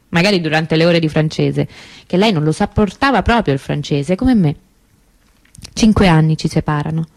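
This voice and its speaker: Mellow close dry voice, young woman missing her sister, confiding and halting, radio play. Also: lowish voice, no echo. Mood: wistful, tender. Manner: confiding, hesitant, conversational with pauses and repetitions. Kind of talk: intimate radio drama monologue.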